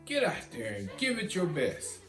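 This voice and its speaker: A man's voice: high-pitched, big pitch swings, normal speed, vocal fry, fairly bright tone, pitched above the performer's own voice, full character voice. A man voicing a firm, encouraging kindergarten teacher who urges children on in a storybook. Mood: firm urging. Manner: firm, urging. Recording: roomy echo, guitar music behind.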